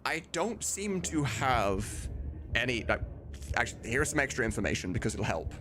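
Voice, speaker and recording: A man's voice: medium pitch, very animated, normal speed, noisy background. A man in his thirties chatting casually on a live stream, rambling and candid. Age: 30s. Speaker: live streamer, game dub maker. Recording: music playing behind the voice.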